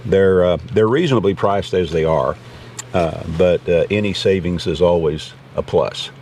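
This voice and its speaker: Low-voiced folksy American man, noisy background. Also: normal speed, darkish tone, distant mic. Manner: folksy, rambling. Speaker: vlog host reviewing gear. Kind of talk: casual unscripted product talk. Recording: clear background noise.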